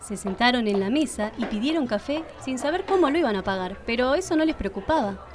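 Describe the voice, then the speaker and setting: A woman's voice: fairly high pitch, lively intonation, brisk, faint music behind. A woman with an Argentine accent reading scripted narration for a radio play.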